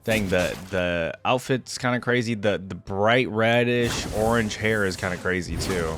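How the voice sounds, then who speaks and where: A man's voice: fairly low pitch, unhurried, fairly bright tone, slight background noise. A man giving casual, off-the-cuff game reaction commentary, rambling as he thinks aloud. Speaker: reaction video host.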